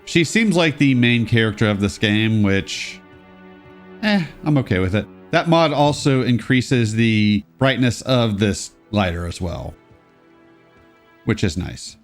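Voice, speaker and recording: A congested young man talks through a game with casual, sarcastic asides. His pitch moves around a lot as he comments. Faint music plays behind him. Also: animated, measured pace. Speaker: let's play host. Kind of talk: gameplay commentary.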